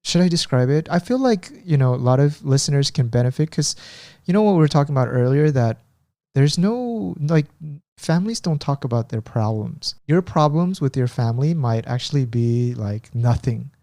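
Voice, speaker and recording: A man co-hosting a casual podcast, chatty and self-deprecating as he tells everyday stories. His voice sits mellow and relaxed, and the sound is close and dry with no room around it. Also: medium pitch, moderate pace, no room sound. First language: Korean is his second language. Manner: chatty, self-deprecating, laughs at himself, acts out Korean phone calls. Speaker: podcast co-host.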